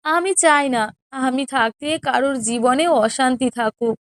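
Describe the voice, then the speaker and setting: A woman acts out a wronged elder wife in a cartoon melodrama. Her voice is very high and plaintive. She is meek and sorrowful, always pleading her case. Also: normal speed, clear, resonant tone, no room sound, clean studio sound, close to an everyday voice. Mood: sorrowful, despairing. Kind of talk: melodramatic cartoon story dialogue.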